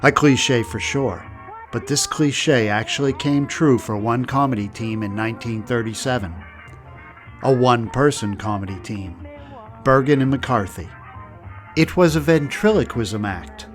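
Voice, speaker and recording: A man hosting a radio-history program in scripted documentary narration with measured, dramatic pacing. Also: mid-range voice. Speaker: podcast host. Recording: narration over music.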